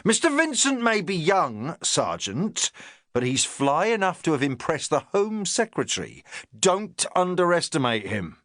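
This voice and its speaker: A self-important police inspector lectures his sergeant in pointed, hectoring phrases, voiced by a male narrator. He talks slowly, his voice swooping up and down as he hammers each point home. The reading is close and dry, with no room sound. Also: a little high, wildly swooping pitch, a bit bright, no echo, voice pushed up, heavily acted. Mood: lecturing. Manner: pompous, hectoring, jabbing phrases. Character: pompous lecturing police inspector, middle-aged man. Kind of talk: audiobook character reading.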